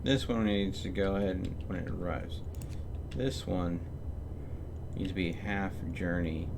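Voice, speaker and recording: A man's voice: deep voice, unhurried, gravelly, noisy background. A man doing casual live game commentary, thinking aloud to himself as he plays. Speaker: game streamer.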